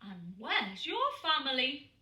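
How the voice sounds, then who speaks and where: A woman voicing a kindly male polar bear in a picture book, speaking in a gently surprised, wondering way. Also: high-pitched, big pitch swings, slow, exaggerated voice. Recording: echoey room, distant mic.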